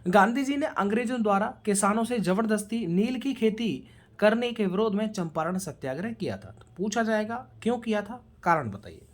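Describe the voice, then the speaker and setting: A woman's voice: medium pitch, animated, moderate pace. A woman giving a question-and-answer revision lecture as a coaching teacher, addressing students directly and mixing in English terms.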